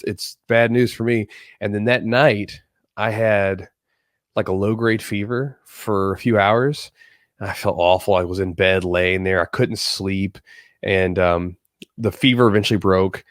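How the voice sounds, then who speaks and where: A man's voice: fairly low pitch, no echo, studio quality. A man hosting a podcast in a casual, rambling, off-the-cuff way, with a slightly congested, nasal voice that often repeats itself. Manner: rambling, conversational, repeats himself. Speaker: podcast host.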